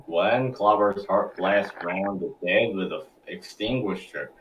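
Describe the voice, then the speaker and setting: Low-voiced man narrating a script. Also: measured pace, a bit bright, recorded from a distance, lo-fi sound, a little hiss or hum. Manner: stumbles over script. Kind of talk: scripted narration, table read.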